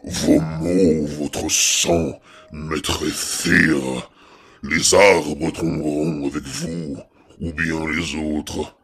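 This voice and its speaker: A threatening warrior villain for a comic dub. His voice is bottomlessly low and rough, and he speaks extremely slowly, with cold, ominous menace. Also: steady tone, a bit bright, heavily acted. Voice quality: very deep, rough, husky. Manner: slow, ominous delivery.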